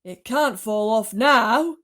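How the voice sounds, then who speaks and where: A woman voicing a loud, greedy, excitable fat aunt from a storybook, exclaiming in shouts. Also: a little high, slow. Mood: excited.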